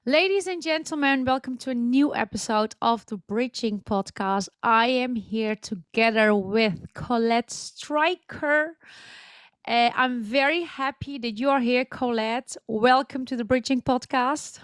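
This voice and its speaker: A woman's voice: on the high side, unhurried, smooth, dry recording. A woman hosting a podcast interview, welcoming her guest and asking questions with careful phrasing. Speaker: podcast host.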